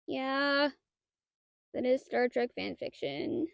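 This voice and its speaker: A woman's voice: sits high, slow pace, creaky, dry recording, exaggerated voice. A woman playing a shy, nerdy half-dragon librarian in a roleplay audio drama, talking in a hesitant, flustered, stammering way. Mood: sheepish, evasive. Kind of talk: roleplay audio drama, one-sided dialogue.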